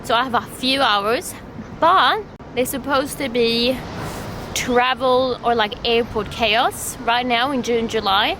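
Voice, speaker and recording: A young woman from Sweden with a Swedish accent, chatting excitedly in a casual travel vlog and laughing often. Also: a little high, takes it slowly, noisy background. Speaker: vlogger.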